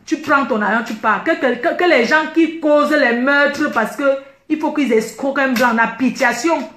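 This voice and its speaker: Woman, high voice, indignant emphatic rant, echoey room. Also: normal speed, fairly bright tone. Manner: indignant, emphatic, repeats herself. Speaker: online video commentator. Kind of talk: heated personal rant to viewers.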